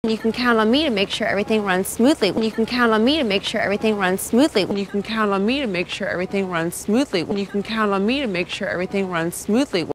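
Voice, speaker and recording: A woman plays an eager, reassuring helper who talks quickly. Her voice sits at a middle pitch over faint ambience. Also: a little hiss or hum, lightly acted, rough recording. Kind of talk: film dialogue.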